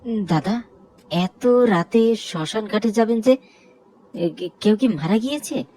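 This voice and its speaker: A woman's voice: medium pitch, lively intonation, warm tone. Woman voicing a timid, poor rickshaw driver. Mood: uneasy. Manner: hesitant, halting delivery with stammers. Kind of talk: cartoon horror story dialogue.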